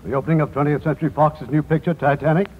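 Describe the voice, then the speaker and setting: A man's voice: fairly high pitch, little pitch movement, racing, breathy edge. A man hosting a 1950s radio show with scripted introductions and questions. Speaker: radio show host and producer. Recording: thin, phone-like vintage radio recording.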